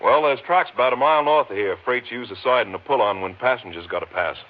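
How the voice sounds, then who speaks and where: A man's voice: medium pitch, quick pace, natural voice. Radio western support, male actor: plain-spoken county sheriff, homespun talk, medium register, muffled 1950s broadcast sound. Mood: curious. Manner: folksy, colloquial. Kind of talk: 1950s radio drama acting. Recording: muffled vintage radio recording.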